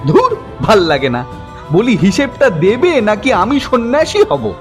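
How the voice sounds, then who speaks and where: A man voicing a fussy, excitable, impatient king in a comic cartoon, whiny and exclamatory. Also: very high voice, unhurried, faint music behind, exaggerated voice. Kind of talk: cartoon comedy dialogue.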